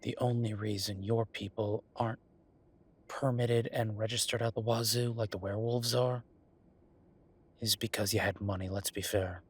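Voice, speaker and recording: A man's voice: fairly low pitch, steady tone, moderate pace, breathy edge. A man playing a wry, affectionate werewolf bureaucrat in an intimate roleplay audio drama, talking in a casual, teasing, conversational way. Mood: wry, concerned.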